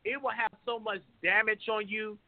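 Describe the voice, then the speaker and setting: A man's voice: sits high, fairly even intonation, normal speed, no room sound. Impassioned young man on a phone line. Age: 20s. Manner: impassioned, speaks in vernacular. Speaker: caller. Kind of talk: emotional call-in testimony.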